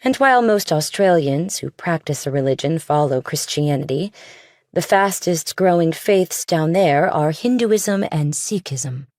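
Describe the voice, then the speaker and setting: A woman's voice: deep voice, lively intonation, a little slow, a little mellow, dry recording, clean studio sound. A woman voicing a stern, pious middle-aged missionary mother in audiobook dialogue. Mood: severe.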